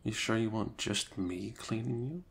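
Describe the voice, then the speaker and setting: A young man speaking softly and hesitantly in an improvised ASMR roleplay, often dropping to a whisper. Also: lowish voice, normal speed, gravelly, husky.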